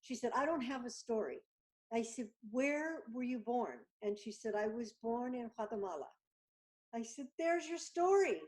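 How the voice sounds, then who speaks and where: Animated woman guest on a video call. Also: fairly high pitch, normal speed, low-quality recording. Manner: animated storyteller, acts out dialogue. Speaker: guest artist. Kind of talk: casual video chat.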